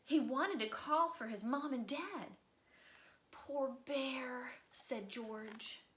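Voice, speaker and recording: A woman reading a picture book aloud for children, expressively, with dramatic pauses and drops into breathy near-whispers for suspense. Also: on the high side, animated, a bit bright. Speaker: volunteer storybook reader. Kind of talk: children's read-aloud storytime narration.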